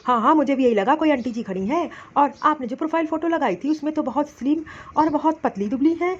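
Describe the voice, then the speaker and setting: A woman's voice: sits high, lively intonation, fast talker. A woman playing a boastful, touchy balding bachelor in a comedy cartoon, bantering with self-satisfied retorts. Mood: mocking. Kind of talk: Hindi comedy cartoon dialogue. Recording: dull, lo-fi cartoon audio.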